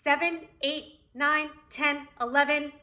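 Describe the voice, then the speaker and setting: A woman reads aloud as a preschool teacher. Her voice is fairly high and very bright, and she takes her time, enunciating deliberately and expressively for young children. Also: fairly even intonation. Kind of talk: read-aloud for young children with counting lesson.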